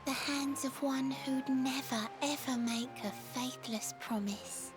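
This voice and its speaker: Game role: lovelorn mermaid, very high voice, wistful theatrical verse over clear music. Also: female voice, steady tone. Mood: wistful, tender. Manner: theatrical, lilting, measured, sighing. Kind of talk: video game voice acting in rhymed verse.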